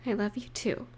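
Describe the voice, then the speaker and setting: A woman voicing an affectionate, playful partner in an intimate roleplay audio, speaking gently and teasingly to the listener with pauses. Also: close to an everyday voice. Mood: affectionate, relaxed.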